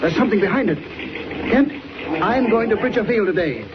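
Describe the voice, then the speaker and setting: Earnest, highish-voiced airline manager, old radio. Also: male voice, expressive, fairly quick. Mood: alarmed, urgent. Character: earnest middle-aged air-transport manager and war veteran. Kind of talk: 1940s radio acting. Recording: noisy, muffled 1940s radio serial recording.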